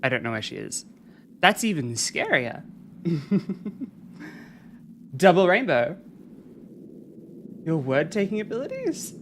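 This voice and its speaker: A game streamer talking casually through a live stream, chatting with viewers and reading chat aloud in a soft, soothing way. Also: mid-range voice, wildly swooping pitch, slow, long pauses, slight background noise. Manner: chatty, reads and answers chat.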